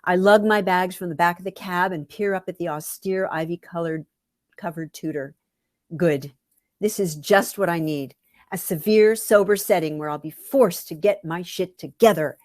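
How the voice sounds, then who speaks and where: A woman reading her own first-person story aloud with wry, deliberate, dramatic phrasing. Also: on the low side, a little mellow, dry recording, studio quality.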